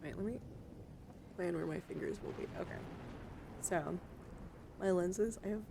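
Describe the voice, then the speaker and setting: Soft-spoken ASMR woman with creaky voice. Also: lowish voice, unhurried, noisy background. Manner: soft-spoken, often dropping into a whisper, casual chatter. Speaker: live streamer hosting a trading card stream. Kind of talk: ASMR, casual card show-and-tell.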